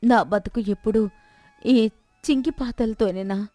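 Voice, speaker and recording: A woman's voice: a little high, measured pace, mellow, dry recording, lightly acted. A woman voicing a poor, discontented village wife who complains and insistently nags her husband in a folk tale. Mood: tearful complaint.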